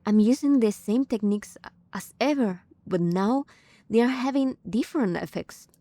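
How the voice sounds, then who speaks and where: A woman's voice: a little high, moderate pace, warm tone, dry recording. A woman streaming a game, casually reacting to play and reading bits aloud.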